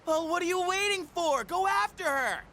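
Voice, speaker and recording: A woman playing a brash, excitable, bossy teenage schoolmate in a video game, talking in slangy exclamations. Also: very high voice, moderate pace, slight background noise. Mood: urgent, pushy.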